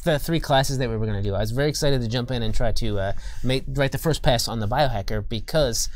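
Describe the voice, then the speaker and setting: American man telling stories, halting and self-deprecating. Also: mid-range voice. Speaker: guest game designer. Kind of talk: casual anecdotal chat. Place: studio.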